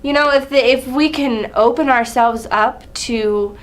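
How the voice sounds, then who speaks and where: A woman's voice: fairly high pitch, unhurried. A young adult woman giving a casual, informal student presentation.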